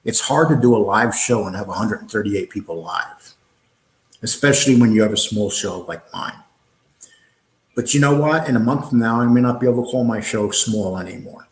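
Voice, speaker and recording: A man's voice: fairly low pitch, normal speed, roomy sound. A man with a New York accent hosting a live online show, answering viewer comments in a blunt, combative way, with many fillers and restarts.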